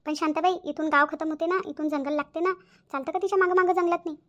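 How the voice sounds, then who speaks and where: A woman's voice: very high-pitched, fairly even intonation, quick pace, dull audio. A woman voicing a timid, curious village sidekick in a cartoon, exclamatory and questioning, with a Varhadi Marathi accent. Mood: nervous, excited. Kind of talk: cartoon character dialogue.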